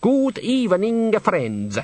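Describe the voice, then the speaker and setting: Male bather, put-on Italian accent, high tenor, florid, sing-song, drawn-out, audiobook. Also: huge pitch swings, no echo, full character voice. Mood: showy, sing-song. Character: showy male bather singing and faking a foreign tenor. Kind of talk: audiobook character voice.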